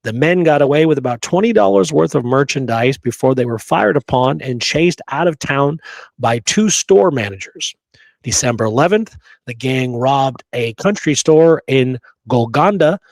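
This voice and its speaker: A man's voice: medium pitch, animated, moderate pace, creaky, no room sound. Man co-host with a dry, close sound. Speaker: show co-host. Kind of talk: reading a historical narrative aloud, with casual asides.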